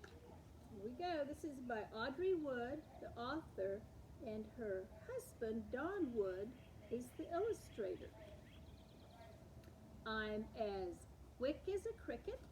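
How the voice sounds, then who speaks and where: Female storytime reader, high pitch, unhurried and expressive, addressing young children, with clear background noise. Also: low-quality recording. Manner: animated, acts out words with slowed and varied delivery. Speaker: storytime host. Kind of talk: expressive read-aloud of picture books for young children.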